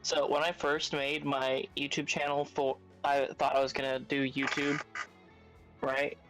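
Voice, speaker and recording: A man chatting casually on a stream, quick and laughing at himself. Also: medium pitch, completely flat delivery, music in the background, low-quality recording. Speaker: co-host on a game prep stream.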